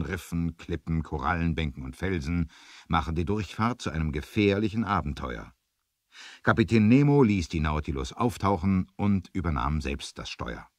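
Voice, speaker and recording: Deep, animated male storyteller. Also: no room sound, clean studio sound. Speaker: narrator. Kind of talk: dramatic scripted storytelling for 1970s adventure radio play.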